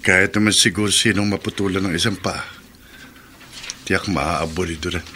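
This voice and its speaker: A respectful soldier son, with a lowish, bright, firm voice. It is earnest radio drama over faint noise. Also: male voice, steady tone, moderate pace. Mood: earnest, caring. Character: caring soldier, respectful son and protective brother. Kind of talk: Filipino radio drama acting.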